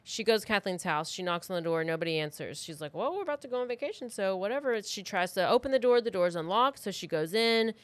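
A woman podcast host tells a story casually, as if chatting with a friend. Her voice sits at an average pitch, and she is informal and blunt, recorded close and dry. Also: animated, fast, hardly any pauses, no room sound. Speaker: true crime podcast host.

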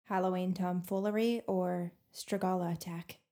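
A woman's voice: fairly low pitch, fairly even intonation, moderate pace, warm tone, lightly acted. An actress playing a sardonic, bossy girl in an audio drama. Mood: curt, businesslike. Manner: teasing, curt.

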